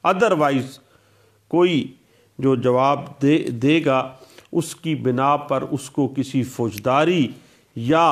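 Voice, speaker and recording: Male law lecturer; slow, methodical, a touch high-pitched, restates each point. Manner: slow, deliberate, repeats points in mixed Urdu-English legal terms. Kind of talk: explaining a statute in a recorded lecture.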